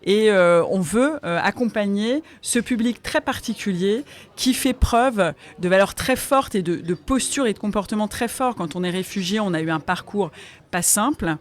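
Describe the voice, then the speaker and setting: A woman's voice: medium pitch, expressive, normal speed. A woman answering as an interview guest, in an articulate, explanatory way. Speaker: interview guest, foundation president.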